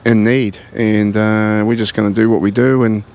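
A gravelly, fairly low-voiced man in his forties with a New Zealand accent, speaking in an earnest, halting way full of fillers and restarts. He is being interviewed in a large indoor gym and holds a handheld mic. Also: flat delivery, darkish tone. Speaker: gym owner. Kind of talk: casual interview.

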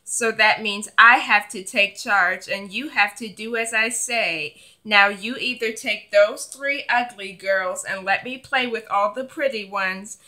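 A woman's voice: medium pitch, a little slow, hardly any pauses, pushed and bright. A woman voicing a bossy, selfish older sister, a young girl, in a children's doll play skit. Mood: bossy, condescending. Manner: bossy, dismissive.

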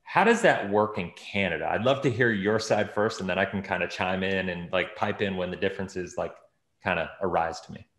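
Low-voiced American man, roomy sound. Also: brisk, a bit bright. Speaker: video host interviewing a guest. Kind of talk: casual conversational hosting. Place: small indoor home office with bookshelves, on a video call. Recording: roomy, echoey video call.

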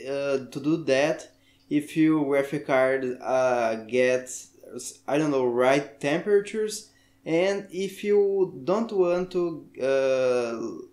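A man from Brazil with a Brazilian Portuguese accent, a native Portuguese speaker, talking through a software tutorial in halting English and searching for words. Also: mid-range voice, roomy sound. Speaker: tech tutorial maker. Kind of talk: screen-recorded software tutorial.

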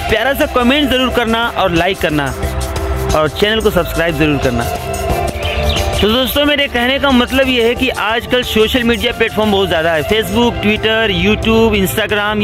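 Earnest high-voiced man vlogging outdoors. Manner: earnest, rambling, repeats appeals to viewers. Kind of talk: casual vlog talk to camera. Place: outdoors by a railway track in the morning.

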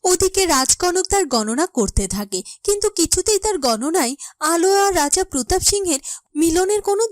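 A woman's voice: very high voice, dry recording, studio quality. A woman narrating a scripted fairy-tale cartoon as its storyteller.

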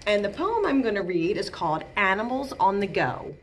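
An American woman in her thirties reading a picture book aloud to children as a librarian, in an expressive, clearly paced way. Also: medium pitch, normal speed. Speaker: children's librarian and storytime reader. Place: small bright indoor room.

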